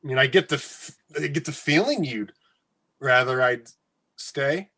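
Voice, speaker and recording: A man playing an awkward, sarcastic radio colleague with a husky voice and halting delivery in a comedy radio drama. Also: medium pitch, sharp tone, exaggerated voice. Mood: nervous, hesitant. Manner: halting, hesitant, stammering.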